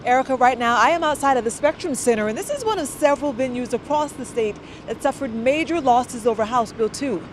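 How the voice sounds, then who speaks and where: A woman with a fairly high voice delivers a TV news report. Her style is scripted broadcast reporting from the field. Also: lively intonation, a little fast, hardly any pauses, a little hiss or hum. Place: outside an arena in a city.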